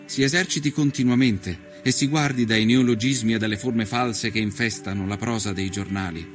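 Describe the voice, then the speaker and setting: A man performing an earnest nineteenth-century Italian journalist who recounts his travels in a measured, solemn, literary radio monologue. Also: on the low side, steady tone, music in the background, lightly acted. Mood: reflective. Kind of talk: scripted dramatic narration, radio storytelling.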